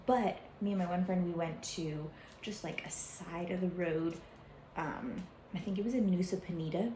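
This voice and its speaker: A woman's voice: fairly low pitch, moderate pace, dark tone, echoey room, a little hiss or hum. A young woman talking casually about travel food, chatty and rambling with lots of fillers. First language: English, some Hindi learned. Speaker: travel vlogger. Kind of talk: casual chat.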